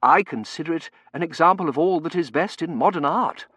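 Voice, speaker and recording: Male narrator plays a fussy curate: earnest, pompous, formal, pitched high, audiobook. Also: brisk, a bit bright, breathy edge, no room sound, clean studio sound. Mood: earnest approval. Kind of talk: audiobook character voice.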